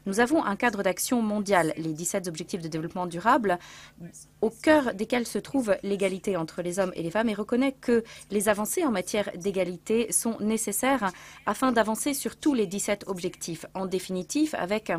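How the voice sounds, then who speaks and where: A woman delivering a formal prepared speech at a lectern in a large conference hall. She speaks in a measured way and reads from notes. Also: mid-range voice. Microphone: lectern microphones.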